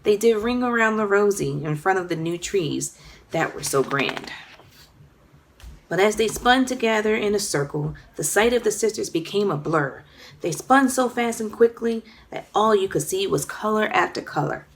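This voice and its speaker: A woman narrating a children's story aloud in an expressive, dramatic storytelling manner. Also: mid-range voice, moderate pace, slight background noise. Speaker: children's author reading own book.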